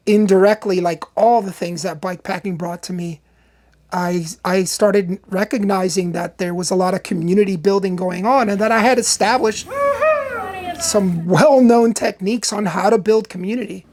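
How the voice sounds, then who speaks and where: A man's voice: sits high, fairly even intonation, darkish tone. Thoughtful man, the event organizer. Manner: thoughtful, measured. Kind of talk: reflective documentary interview.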